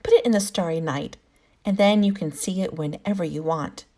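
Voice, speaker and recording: A woman's voice: on the low side, moderate pace, mellow. A woman voicing a kind, anxious young countrywoman in a storybook, exclaiming and a little fretful. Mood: gentle.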